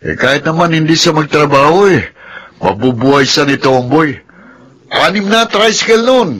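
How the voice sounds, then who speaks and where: Radio drama: a sneering gossip, husky and higher-pitched. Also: male voice, expressive, moderate pace, fairly bright tone. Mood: taunting. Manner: taunting and accusing. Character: spiteful gossiping village man. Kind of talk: Filipino radio drama acting.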